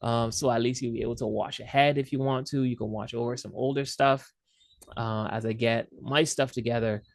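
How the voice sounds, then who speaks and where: A man's voice: medium pitch, steady tone, fairly quick, gravelly, dry recording, studio quality. A man teaching an online class as instructor, speaking casually and loosely with fillers and restarts, heard over a video call.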